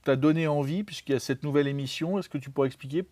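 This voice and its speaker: A man's voice: fairly high pitch, rapid-fire, darkish tone, no room sound, clean studio sound. A man interviewing a guest, asking questions hesitantly with many fillers and restarts. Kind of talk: conversational interview.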